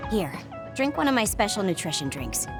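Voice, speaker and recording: Woman doing lazy dry game girl, tone jumps around, loud music underneath. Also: medium pitch, big pitch swings, fairly quick, creaky. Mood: laid-back. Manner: laid-back and dry. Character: lazy, teasing young woman.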